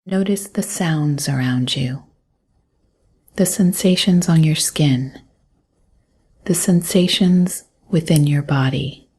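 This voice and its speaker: A woman guiding a mindfulness meditation in a soft, breathy voice, gently and calmly, with long pauses between instructions. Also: deep voice. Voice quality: soft, breathy, often near a whisper. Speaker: meditation guide. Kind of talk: guided mindfulness meditation, scripted narration.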